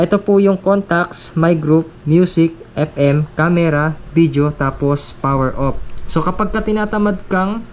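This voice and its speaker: Higher-voiced man, slightly noisy recording. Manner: polite, addressing viewers respectfully. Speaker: motorcycle gear reviewer. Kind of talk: casual step-by-step product tutorial.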